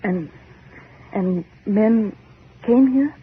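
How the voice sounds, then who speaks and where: An actress playing a bewildered, insistent newcomer with dramatic questioning, in 1940s radio horror style. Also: female voice, mid-range voice, extremely slow, breathy edge, full character voice. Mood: disbelieving. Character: bewildered, insistent heir newly arrived on island. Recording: scratchy, muffled 1940s radio recording.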